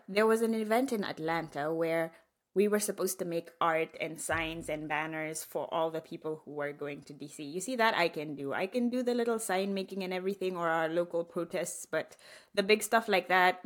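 Low-voiced woman, candid podcast storyteller. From Kenya. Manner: candid, animated retelling with acted-out dialogue. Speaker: podcast host. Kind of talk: casual storytelling monologue.

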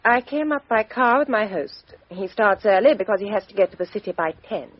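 Young lady, crisp and candid, husky, muffled 1950s broadcast. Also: mid-range voice, animated, a little fast, no room sound. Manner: crisp, frank, upper-class delivery. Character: composed, outspoken young Englishwoman. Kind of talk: 1950s radio drama acting. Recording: dull 1950s radio recording.

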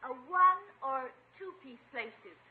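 A woman's voice: high voice, lively intonation, a little slow. An actress playing a coy, flirtatious woman with a cooing, teasing manner in a 1950s radio comedy. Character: coy, flirtatious lady visitor. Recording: muffled old radio recording, faint noise.

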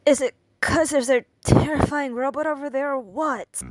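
A man's voice: very high voice, steady tone, moderate pace, dry recording, pitched above the performer's own voice. A man voicing a drowsy, blunt young woman who mumbles grumpily in an audio drama. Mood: groggy, annoyed.

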